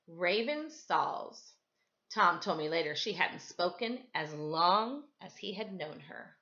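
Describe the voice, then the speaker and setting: A woman reading a children's story aloud in an animated, expressive way. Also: medium pitch, lo-fi sound. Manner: animated, dramatizes dialogue. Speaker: storybook reader, teacher.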